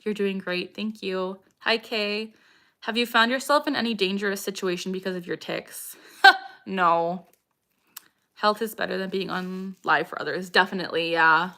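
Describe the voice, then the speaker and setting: Young woman in her 20s, bright voice, chatting on a livestream, lots of vocal tics and giggles. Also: medium pitch, fairly even intonation, moderate pace. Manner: frequent vocal tics and bursts of laughter; chatty, greets viewers by name. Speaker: livestream host. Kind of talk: casual livestream Q&A, reading chat aloud.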